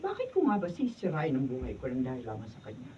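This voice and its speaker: A woman's voice: extremely low pitch, huge pitch swings, fast, full character voice. A woman with a Filipino accent acting an assertive, motherly mentor in a radio drama, emphatic and admonishing. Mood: reflective, firm. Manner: emphatic, admonishing, mixes English into Tagalog. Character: assertive, motherly older female mentor, successful professional. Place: radio drama recording session. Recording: distant, noisy, dull camera audio.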